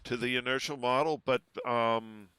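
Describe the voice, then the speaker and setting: Relaxed man, bright crisp voice. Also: moderate pace, dry recording. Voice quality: relatively low voice. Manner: easygoing, chatty, many ums. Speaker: streamer. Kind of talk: casual gameplay livestream chat. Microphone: desk microphone at home.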